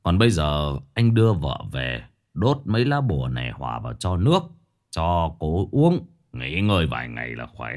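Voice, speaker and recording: A man voicing a stern older male exorcist master who gives slow, firm commands. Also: extremely low pitch, sing-song, a little mellow, pitched below the performer's own voice. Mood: calm instructing.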